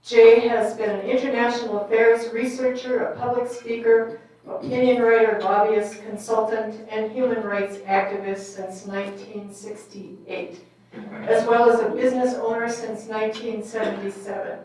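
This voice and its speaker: A woman's voice: on the high side, flat delivery, darkish tone. Woman moderator in an echoey hall. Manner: reads bios, with pauses and fillers. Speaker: forum moderator. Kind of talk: introducing panelists at a public forum. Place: large echoey meeting hall. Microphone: distant microphone. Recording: echoey hall, mic far off.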